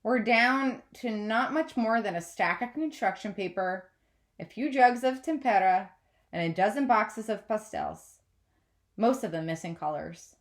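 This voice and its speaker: A woman reading a children's novel aloud as a teacher, with expressive scripted narration. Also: medium pitch, a little slow.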